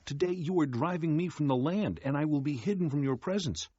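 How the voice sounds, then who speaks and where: An actor playing a sullen, aggrieved biblical man in a dramatized scripture reading, with a husky voice. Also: fairly high pitch, animated, fairly quick, warm tone, dry recording. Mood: anguished. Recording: dull band-limited recording. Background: music.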